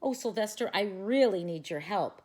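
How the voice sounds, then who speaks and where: A woman's voice: mid-range voice, animated, normal speed, a little mellow, natural voice. A woman voicing an anxious young schoolboy who pleads earnestly. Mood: pleading.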